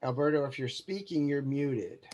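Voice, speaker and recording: A man's voice: medium pitch, normal speed, darkish tone, lo-fi sound. A man hosting an online event on a video call, hesitant and restarting often. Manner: hesitant, restarting, fumbling with controls. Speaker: event host.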